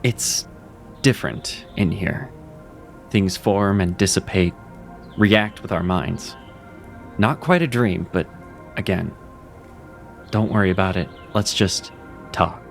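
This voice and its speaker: A cryptic, teasing mage mentor, acted by a man in a fantasy audio drama. His voice sits low with a gravelly edge, and he keeps an easygoing, chuckling manner. Faint music plays underneath. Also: slow pace, long pauses, full character voice. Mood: reassuring.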